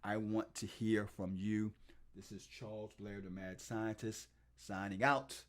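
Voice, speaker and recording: A middle-aged man hosting a livestream for his real estate investing channel, shouting out viewers by name and talking through his video playlists with upbeat enthusiasm. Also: on the low side, fairly even intonation, a little slow. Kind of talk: casual livestream shout-outs and channel tour.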